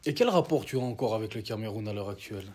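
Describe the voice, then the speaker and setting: A man's voice: on the low side, lively intonation, fast, darkish tone, husky. A man hosting a talk show, asking loose, joking questions in a casual way. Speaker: show host. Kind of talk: casual interview chat.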